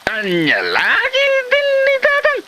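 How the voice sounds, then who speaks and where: A man's voice: extremely high pitch, wildly swooping pitch, slow pace, background noise, heavily acted. A man playing a lazy, boastful, hard-drinking husband in a comedy drama, dismissive and cheerfully defiant. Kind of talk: Ilocano comedy drama acting.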